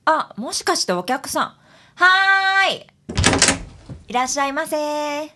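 A woman's voice: high voice, lively intonation, takes it slowly, heavy background noise. A woman playing an outspoken, chatty detective's assistant in a radio drama, with a breezy Kansai accent. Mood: welcoming. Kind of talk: Japanese radio drama acting.

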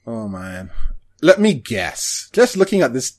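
A man talks casually as a podcast co-host. His pitch sits in the middle of the male range. He speaks in a halting way, as if working out his thoughts while he talks. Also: very animated. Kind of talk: casual chat about anime shows.

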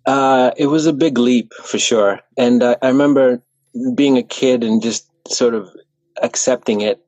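A relaxed young man from Kenya talks about his running life on a video call. He rambles a little and restarts his sentences. His mid-pitched voice sits close and dry, with no room sound. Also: a little slow, no echo, low-quality recording. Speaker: running coach. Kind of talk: casual video-call interview.

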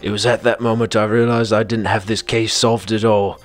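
A man's voice: on the low side, little pitch movement, moderate pace, soft background music, close to an everyday voice. A man playing a bumbling hard-boiled detective who narrates his own case in a rambling, mock-dramatic way, in a parody radio drama. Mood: brooding, muddled.

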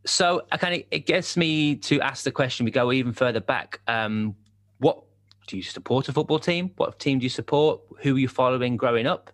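A man's voice: medium pitch, a little fast, a bit bright. A man hosting a podcast, chatting casually in a friendly way and laughing easily. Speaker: podcast host. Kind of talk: casual chat.

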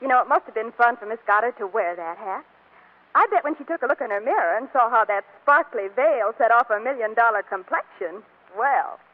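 A woman's voice: on the high side, lively intonation, brisk. A woman reporter with a gushing, chatty manner reading scripted 1940s radio advertising, on a tinny recording. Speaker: Hollywood reporter.

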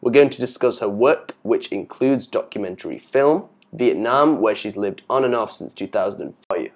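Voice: mid-range voice, lively intonation, normal speed, vocal fry. An interviewer introducing a guest and asking brief, friendly questions.

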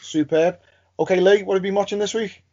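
A man's voice: high-pitched, fairly even intonation, quick pace, warm tone. A man hosting a casual podcast chat. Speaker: podcast host. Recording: slightly dull, lo-fi audio.

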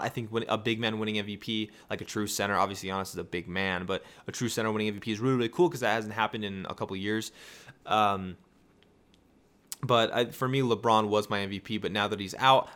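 A tired young man with a raspy voice, hosting a casual sports podcast and rambling through excited rhetorical questions. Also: fairly low pitch. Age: young adult. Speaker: podcast host.

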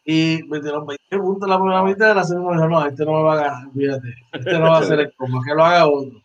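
A man's voice: a little high, steady tone, quick pace, muffled recording. A man in his forties, a sports podcast co-host, chatting animatedly and repeating phrases. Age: 40s. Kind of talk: casual sports chat.

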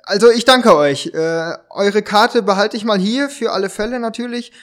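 A high-pitched, shady gentleman put on by a man for an amateur radio play. He is courteous on the surface and dismissive underneath. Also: moderate pace. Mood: falsely polite. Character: shady older man, falsely polite, dismissive impostor.

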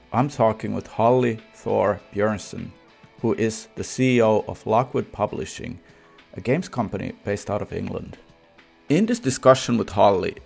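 A man's voice: lowish voice, creaky, a little mellow, breathy edge. A man speaking as a podcast host, introducing and presenting in a prepared but personal style. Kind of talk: podcast intro, sponsor read and interview.

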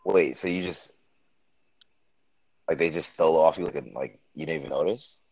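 A man's voice: extremely low pitch, moderate pace, a little mellow, dry recording. A man originally from Jamaica, raised in the Bronx, co-hosting a podcast in casual chat, hesitant and pedantic. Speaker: podcast co-host. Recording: dull dry lo-fi sound.

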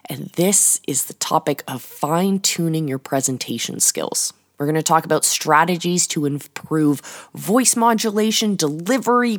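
A woman with a slightly low voice hosts a podcast as a scripted storyteller. She takes her time, speaking very slowly and leaning on dramatic, emphatic pauses. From Canada. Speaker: podcast host and coach.

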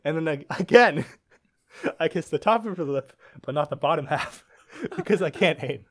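A man's voice: a little high, expressive, moderate pace, a bit bright. Young American man telling a funny story. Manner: laughs often while telling a story. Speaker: podcast guest. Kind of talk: casual storytelling chat.

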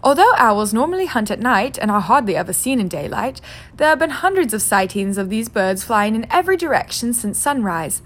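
A male evening-news anchor, put on by a woman reading a story aloud, reading in a formal, bright broadcast voice, a little higher and louder than her own. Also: big pitch swings, a little fast. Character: smooth male TV newscaster.

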